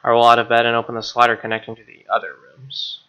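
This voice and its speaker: A man's voice: mid-range voice, completely flat delivery, fast talker, pushed and bright, smooth, lo-fi sound. A young man reading a visual novel's narration aloud with casual asides as he plays. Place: small home room, indoors. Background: game music.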